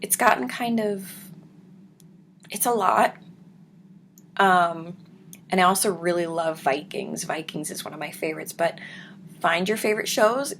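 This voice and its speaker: Woman, young adult, raspy, gravelly voice, slow, soft-spoken, whispery, casual home vlog. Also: a little hiss or hum. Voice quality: deep, raspy voice. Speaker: vlogger. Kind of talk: casual chat reading viewer comments.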